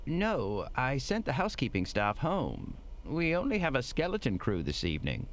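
Squeamish hotel manager, super polite n formal, voice goes all up and down, kinda prissy. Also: male voice, medium pitch, wildly swooping pitch, moderate pace, slight background noise. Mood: anxious. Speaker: actor in an audio drama. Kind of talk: scripted audio drama acting.

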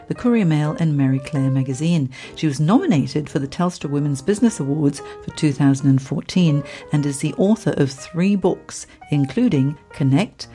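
A woman's voice: low voice, mellow. A woman hosting a podcast interview, reading an introduction and asking questions in an effusive way. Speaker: podcast host.

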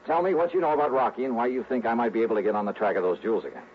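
An actor playing a tough, dogged American insurance investigator in a 1960s radio drama, clipped, brusque and slangy. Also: male voice, mid-range voice, rapid-fire, lightly acted. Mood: insistent, skeptical. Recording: noisy, narrow-band old radio recording.

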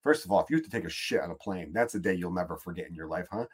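A man with a Chicago accent rants through a comic podcast monologue, swearing freely and circling back on his own sentences. His voice sits a bit low, and he sounds fed up, loose and chatty. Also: expressive, rapid-fire, slightly rough. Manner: profane, exasperated, rambling. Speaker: podcast host. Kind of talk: comedic monologue rant.

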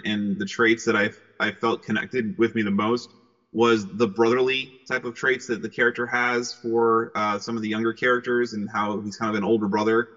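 Male interviewee, voice actor: lowish but bright, upbeat and excitable, conversational, on a dull recording. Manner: cheerful, excitable. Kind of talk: casual interview answers. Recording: muffled, long hall-like reverb.

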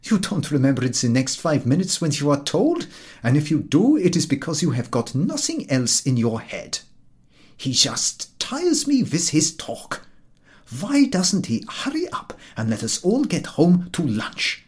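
A man's voice: on the high side, very animated, measured pace, a little mellow, breathy edge, pitched above the performer's own voice, full character voice. A man voicing a sardonic, world-weary carriage horse with a dry, disdainful manner in a storybook reading.

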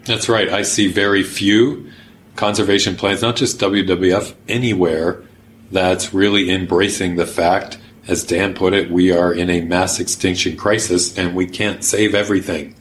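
A man's voice: low-pitched, steady tone, normal speed, a little hiss or hum. A man explaining his thinking in a podcast conversation, earnest and pragmatic, who also leads a workshop. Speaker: workshop organizer.

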